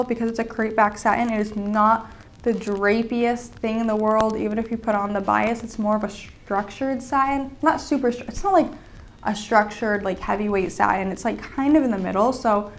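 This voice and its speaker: A woman's voice: on the high side, slight background noise. A young woman hosting her own sewing and fashion channel, chatting casually and upbeat with lots of fillers, a little sick. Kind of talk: casual vlog chat. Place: indoors at home with sketchbook and iPad.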